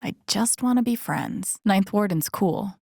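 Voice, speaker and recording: A woman playing a thoughtful, wry young game protagonist, musing to herself in low-key introspective lines. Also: animated, measured pace, gravelly, darkish tone, no echo, studio quality. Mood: wry, casual. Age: young adult.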